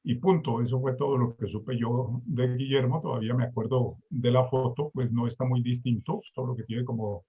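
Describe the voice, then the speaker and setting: Husky teasing man on a call. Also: mid-range voice, fairly even intonation, moderate pace, few pauses. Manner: teasing, jokey. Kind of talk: casual chat on a video call. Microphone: video call. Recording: muffled lo-fi call audio.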